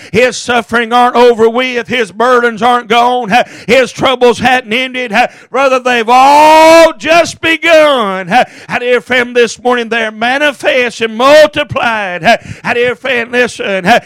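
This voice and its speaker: A male preacher with a very high-pitched, strained-upward voice delivers a fiery evangelistic sermon. He breaks it into short halting phrases stitched together with repeated "uh, uh", pushing forcefully toward shouts. The sound is dry and close. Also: no echo. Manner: halting phrases, each punctuated by "uh, uh" and a repeated address to the listener.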